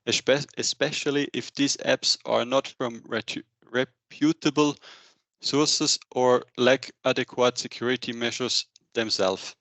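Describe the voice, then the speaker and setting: A man in his thirties presents a business webinar in a mid-range voice. His delivery is halting, partly read from slides. The headset sound is dry, with little room to it. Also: medium pitch, steady tone, fairly bright tone, dry recording. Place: indoor room, on a video call.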